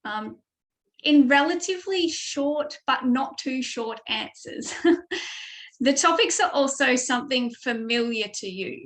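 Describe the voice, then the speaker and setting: A woman's voice: fairly high pitch, fairly bright tone. An Australian woman giving a webinar lesson as a speaking tutor over a video call mic, explaining steadily with frequent ums. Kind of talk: online webinar presentation.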